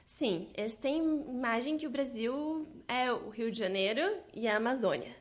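A young woman from southern Brazil, answering interview questions in a lively way and laughing often. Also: a little high, moderate pace. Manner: lively, laughs often, quotes others' reactions. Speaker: guest.

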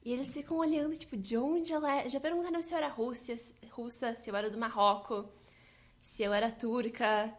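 A young woman from southern Brazil, answering interview questions in a lively way and laughing often. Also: on the high side, a little fast. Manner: lively, laughs often, quotes others' reactions. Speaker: guest.

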